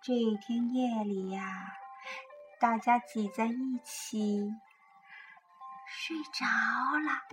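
A woman tells a bedtime picture-book story in a high voice with sweeping, animated intonation. She takes her time, coaxing young listeners along as a children's host. Clear music plays behind her. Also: extremely slow, pauses often. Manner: expressive, coaxing, addresses young listeners with questions.

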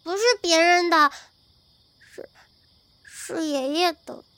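A woman playing an innocent, sweet little girl in an audio drama, talking in simple, halting childlike phrases. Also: very high-pitched, steady tone, sharp tone, no room sound, heavily acted. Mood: innocent, earnest. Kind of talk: modern audio drama acting.

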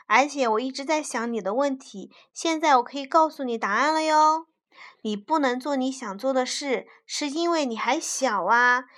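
Kindly, reassuring old grandpa, read by a woman. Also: high-pitched. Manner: warm, gentle, reassuring.

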